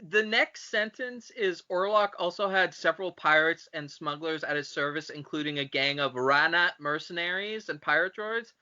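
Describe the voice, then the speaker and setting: A man's voice: on the high side, normal speed, hardly any pauses, pushed and bright, highs cut off. A man co-hosting a podcast in casual, argumentative banter with some swearing. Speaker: podcast co-host.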